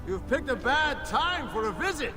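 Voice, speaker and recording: A battle-hardened warrior prince in a video game. His voice is pushed very high. He gives orders forcefully, with a sardonic edge. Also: big pitch swings, normal speed, over music. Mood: sardonic, urgent.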